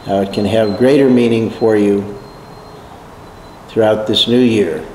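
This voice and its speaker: A man leading a slow, prayerful guided meditation in a calm, measured way. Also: lowish voice. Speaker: meditation leader. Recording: echoey hall, faint noise.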